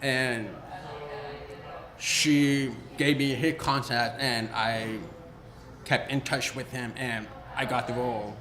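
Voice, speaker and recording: A man in his twenties, an actor, answering interview questions haltingly, often asking for them to be repeated. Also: mid-range voice, steady tone, a bit bright, echoey room. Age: 20s.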